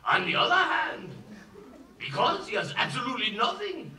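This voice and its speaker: An actor playing a domineering but soft-hearted old village father, exclamatory and sarcastic, in a musical film. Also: extremely high pitch, huge pitch swings, moderate pace, heavily acted. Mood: pondering. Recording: echoey film soundtrack, distant, music behind.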